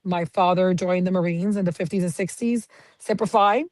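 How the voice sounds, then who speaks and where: A woman in her fifties from San Antonio, Texas, a county clerk giving steady, detailed answers as a guest. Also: lowish voice, moderate pace, dry recording, studio quality. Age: 50s. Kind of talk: interview answers.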